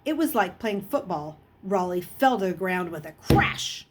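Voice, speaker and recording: Husky woman reading a story aloud. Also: lowish voice, expressive, measured pace. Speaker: audiobook reader. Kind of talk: expressive children's novel read-aloud.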